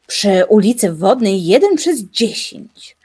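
Slow, solemn young woman played by an actress. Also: medium pitch, sing-song, warm tone, slightly rough, heavily acted. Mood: solemn, intrigued. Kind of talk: dramatised reading of newspaper reports and notices.

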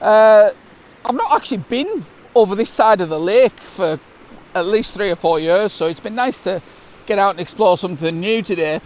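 A middle-aged British man talking casually to camera outdoors, raising his voice over a rushing waterfall. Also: high voice, animated. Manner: raised voice, projecting over water noise. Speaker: landscape photography vlogger. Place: outdoors on a hillside beside a waterfall.

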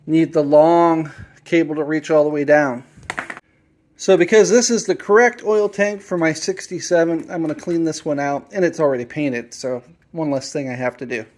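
A man with a slightly high voice talks through his motorcycle work in a home garage, plain and matter-of-fact, with ums and small restarts as he goes. He is off camera, close to a camera mic held while he works. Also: a little mellow. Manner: plain, matter-of-fact, with frequent fillers and self-corrections. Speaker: motorcycle restorer filming his build. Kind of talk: hands-on workshop explanation.

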